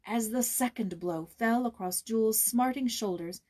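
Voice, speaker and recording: A woman narrating a storybook in a theatrical, expressive way, with a husky voice. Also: fairly high pitch, normal speed. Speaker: audiobook reader, voice actor. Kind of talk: dramatic scripted storybook narration.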